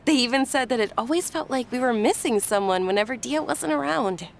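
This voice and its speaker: High-voiced, earnest young noble lady. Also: animated, a little fast, creaky, slight background noise. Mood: friendly. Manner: polite, earnest, gently pressing. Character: well-bred young noble lady, caring, insistent. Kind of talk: video game voice acting.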